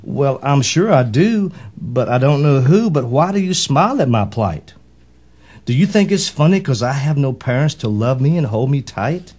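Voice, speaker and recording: A man's voice: medium pitch, lively intonation, moderate pace, darkish tone, husky. A man voicing a friendly, plain-spoken male orphan goose in a rhyming children's storybook, with folksy phrasing. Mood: wounded.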